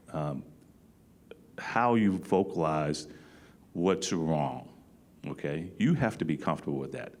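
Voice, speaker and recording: A man's voice: sits low, darkish tone. A man speaking as a panelist, giving direct advice and checking in with the audience. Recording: long hall reverb.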